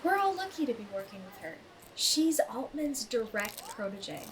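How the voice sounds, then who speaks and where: A high-voiced earnest, loyal woman in an audio drama about a self-help group. She speaks assertively, a bit preachy, with natural delivery. Clear ambient noise sits behind her. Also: sing-song, moderate pace. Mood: indignant, insistent. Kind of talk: naturalistic audio fiction acting.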